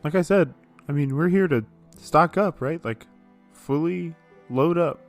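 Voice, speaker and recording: A man's voice: on the high side, measured pace, vocal fry, faint music behind. A man chatting and bantering casually as a player in a tabletop roleplaying game.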